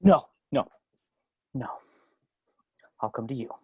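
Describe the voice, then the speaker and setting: A man's voice: mid-range voice, lively intonation, slow, husky. A man playing a volatile, preening crime boss with a theatrical, imperious manner in a table read. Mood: distraught. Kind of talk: table read of a film script.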